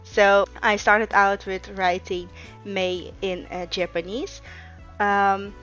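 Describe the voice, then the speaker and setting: A woman's voice: medium pitch, slow, dull audio, soft background music. A young woman whose first language is Russian, chatting casually through a plan-with-me video, with frequent ums and uhs. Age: young adult. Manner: relaxed, chatty, many filler ums and uhs. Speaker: vlogger.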